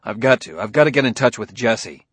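Man playing a gruff, husky detective father. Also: medium pitch, lively intonation, a little fast, fairly bright tone, dry recording. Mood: decisive. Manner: curt, commanding, tense. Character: gruff, impatient plainclothes detective father, science fiction audiobook.

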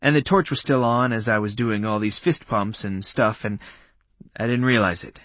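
A man's voice: lowish voice, moderate pace, fairly bright tone, no room sound. A man voicing a wisecracking guy who plays a goofy, guilty slacker in an audiobook, with exaggerated slangy dude talk. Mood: sheepish bluffing.

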